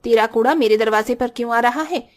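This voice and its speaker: A woman's voice: on the high side, brisk, pitched below the performer's own voice, lightly acted. A woman voicing a brash, sarcastic housewife who taunts her neighbour in a cartoon story. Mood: accusing.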